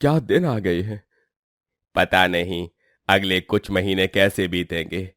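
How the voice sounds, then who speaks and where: A man's voice: low voice, slow pace, a bit bright, no room sound, studio quality. Man acting an earnest, humble village cook. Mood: worried. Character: humble, gifted village cook, a devoted brother. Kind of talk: dramatized Hindi moral story.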